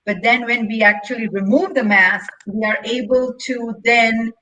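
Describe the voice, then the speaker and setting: Bright, earnest woman reflecting. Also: fairly high pitch, poor audio. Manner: earnest, effusive, deliberate phrasing. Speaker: stage actor, interview guest. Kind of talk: reflective interview talk. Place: indoors at home, on a video call.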